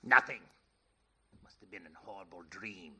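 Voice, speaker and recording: A man's voice: low voice, moderate pace, bright, forceful tone, breathy edge, exaggerated voice. An actor playing a skeptical, miserly, easily frightened old businessman in a theatrical 1930s radio play style. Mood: uneasy. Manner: theatrical, querulous.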